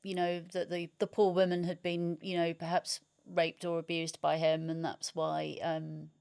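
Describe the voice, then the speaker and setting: Smooth, lowish-voiced woman interviewer, musing. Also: monotone, moderate pace, darkish tone. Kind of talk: conversational literary discussion.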